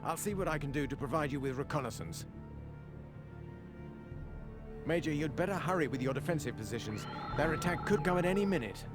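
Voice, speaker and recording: A male actor as a military adviser in a war video game, with a slightly raised pitch. He is measured and formal, given to dry understatement while briefing his commander. Loud music plays behind him. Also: lively intonation, natural voice. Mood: instructive. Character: composed, dryly witty male military adviser to a major.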